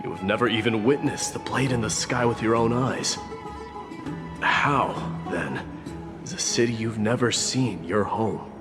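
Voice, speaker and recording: An actor playing a proud, stern crown prince in a video game, speaking in a formal, measured, commanding way. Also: male voice, on the low side, long reverb tail, over music, close to an everyday voice. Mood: weary, solemn.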